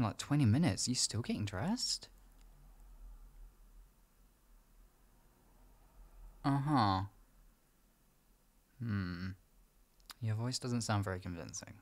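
Husky, gravelly boyfriend acted by a man, gently doting. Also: medium pitch, very animated, takes it slowly, long pauses, exaggerated voice. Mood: concerned, playful. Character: gentle, doting boyfriend reassuring his partner. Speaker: voice actor in audio roleplay. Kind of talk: improvised ASMR boyfriend roleplay, intimate comfort talk.

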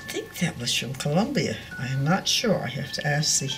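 A woman's voice: deep voice, big pitch swings, normal speed, faint music behind, low-quality recording. An older woman chatting casually and unscripted as she gives a home tour, meandering and correcting herself as she goes. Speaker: dollhouse owner giving a tour. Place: indoors at home, filming a dollhouse.

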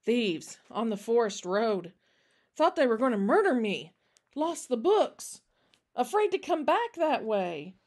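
Loyal servant and father for a storybook, woman performing, anxious, forceful, clipped delivery. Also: a little high, big pitch swings, moderate pace, hardly any pauses. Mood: shaken.

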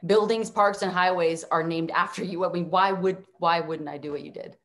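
A young woman playing an anxious, skeptical former assistant in a comic table read, deadpan and incredulous, over video call. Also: lowish voice, moderate pace, natural voice. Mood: dry.

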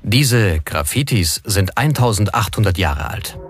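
A man's voice: fairly low pitch, expressive, a little slow, background noise. A man reading scripted documentary narration as the narrator. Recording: music playing behind the voice. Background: background music.

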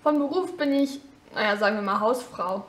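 A woman's voice: fairly high pitch, fairly quick, close to an everyday voice. A girl voicing a chatty young mother showing off her home. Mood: chatty cheerful. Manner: chatty, casual tour-guide talk. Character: cheerful mother of two, thirty-three-year-old housewife. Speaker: vlog host mother in a toy figure film. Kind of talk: homemade toy-figure vlog acting. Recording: roomy echo.

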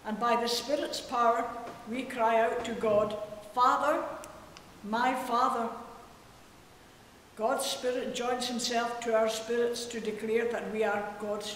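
A woman reading scripture at a church service in a solemn, measured and deliberate way. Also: a little high. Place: inside a church. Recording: very echoey church hall, faint hiss.

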